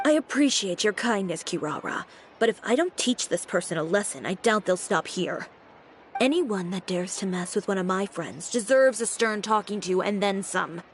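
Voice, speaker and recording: A woman voicing a poised, composed fashion designer who speaks in a measured, cool manner, in a video game. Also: mid-range voice, animated, a little hiss or hum, natural voice. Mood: firm. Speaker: boutique owner character. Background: game music.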